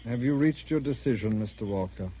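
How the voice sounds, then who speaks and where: An actor playing a cold, courteous alien emissary with menacing calm in a 1950s radio drama. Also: male voice, medium pitch, fairly quick, breathy edge. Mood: coolly polite. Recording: muffled, noisy 1950s radio recording.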